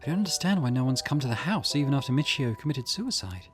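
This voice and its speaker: A man's voice: mid-range voice, animated, fast talker. Man reading game dialogue aloud over music. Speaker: gaming channel host reading a visual novel aloud.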